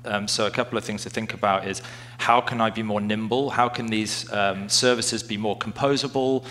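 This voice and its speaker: Flat-voiced man on a tech panel. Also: on the low side, moderate pace, slight background noise. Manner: thoughtful, many fillers and restarts. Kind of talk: conference panel discussion. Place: conference stage before an audience.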